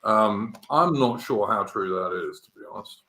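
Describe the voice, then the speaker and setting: English man, 50s, live-stream host, bright edgy tone, roomy echo, halting delivery. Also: lowish voice. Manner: halting, many fillers and restarts. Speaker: podcast host. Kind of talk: casual political chat.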